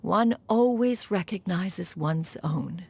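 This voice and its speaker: A woman's voice: lowish voice, slow, vocal fry, darkish tone, slightly rough, exaggerated voice. An actress voicing a proud, formal, aloof female immortal in a video game, with haughty, archaic phrasing. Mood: stately, measured. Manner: haughty, archaic, speaks of oneself as "one". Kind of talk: scripted video game dialogue.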